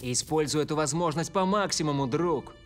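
An actor playing a friendly, sensible, slightly worried young soccer player in a cartoon dub. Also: male voice, a little high, fairly quick, natural voice. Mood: friendly, encouraging. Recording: background music under the voice.

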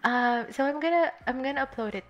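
A woman's voice: high-pitched, brisk, muffled recording. A young woman streaming from home, chatting casually while she draws, giggling often and rambling with lots of fillers into a desktop microphone. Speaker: streamer drawing live. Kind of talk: casual chat while drawing. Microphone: desktop USB microphone.

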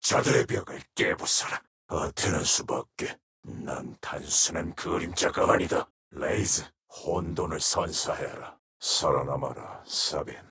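A man voicing a menacing, brooding shadow wraith assassin in a video game, with a hoarse, whispery rasp and slow, ominous, terse delivery. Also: extremely low pitch, bright, forceful tone, heavily acted. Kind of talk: video game character voice lines.

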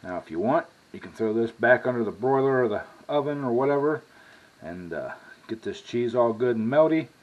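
A man's voice: mid-range voice, expressive, dull audio. An American man in his sixties with a folksy manner, casually talking through a cooking demonstration as a home cook. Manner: folksy, drawn-out asides with y'all. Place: home kitchen, indoors at the stove. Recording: clearly noisy home kitchen recording.

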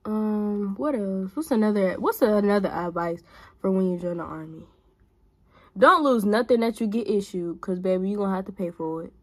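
Chatty young woman giving blunt advice. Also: moderate pace. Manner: chatty, blunt, big-sister advice, swinging pitch. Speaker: vlogger. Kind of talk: casual chat while doing makeup. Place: small bedroom, indoors. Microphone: phone camera at arm's length.

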